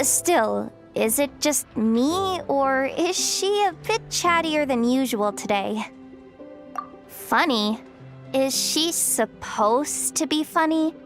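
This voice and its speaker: High-pitched, easily flustered youngster. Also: female voice, heavily acted. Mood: relieved, puzzled. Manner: blustery, self-conscious, hesitant mumbling to herself. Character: awkward, easily flustered tsundere youngster named Yehoda. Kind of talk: video game voice acting. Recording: game music playing behind. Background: game music.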